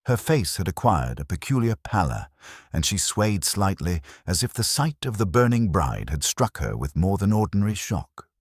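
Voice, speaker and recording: A man narrating a scripted mystery story in a measured, literary way with dry irony. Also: low voice, sing-song, slightly rough, dry recording. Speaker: audiobook narrator.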